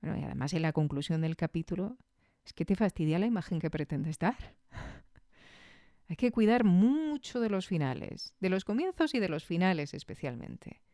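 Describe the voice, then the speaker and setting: A woman's voice: on the low side, lively intonation, normal speed, dark tone, no room sound, clean studio sound. A woman with an accent from Spain hosting a podcast, giving a casual, reflective spoken review with conversational tag questions.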